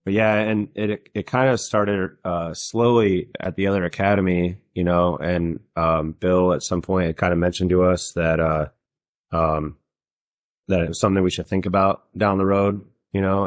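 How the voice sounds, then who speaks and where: A man's voice: sits low, no room sound, studio quality. A man hosting a podcast in casual conversation, talking in a rambling way with many fillers and restarts. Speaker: podcast host. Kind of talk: casual chat.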